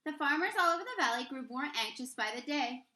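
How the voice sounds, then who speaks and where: A woman reading a children's picture book aloud expressively, with deliberate, dramatic emphasis on key words. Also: high-pitched, animated, pushed and bright, echoey room, a little hiss or hum. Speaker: read-aloud storyteller, teacher reading to children.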